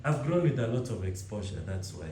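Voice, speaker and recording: A man's voice: mid-range voice, a little fast, echoey room, a little hiss or hum. A young Kenyan man with a Kenyan accent, chatting easily and answering questions, restarting his words often. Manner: relaxed, rambling, frequent restarts and laughs. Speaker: interviewee. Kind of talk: casual conversation.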